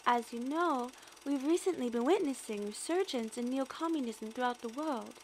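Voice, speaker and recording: A woman's voice: high-pitched, normal speed, darkish tone, a little hiss or hum. A woman playing an earnest, eager young military analyst in an audio drama, precise and deferential. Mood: earnest briefing. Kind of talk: scripted audio drama acting.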